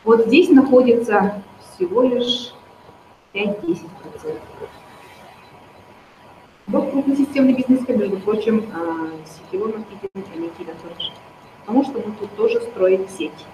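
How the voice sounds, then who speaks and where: A woman, persuasively pitching network marketing straight to her viewers. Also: a little slow, pauses often, warm tone, slight background noise. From Yakutia. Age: 30s. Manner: persuasive, addresses viewers directly. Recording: roomy, slightly dull recording.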